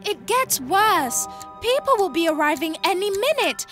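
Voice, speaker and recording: A woman playing a friendly, expressive young female train engine in a children's animated series. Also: very high-pitched, moderate pace, full character voice. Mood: worried. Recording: clear music behind the voice.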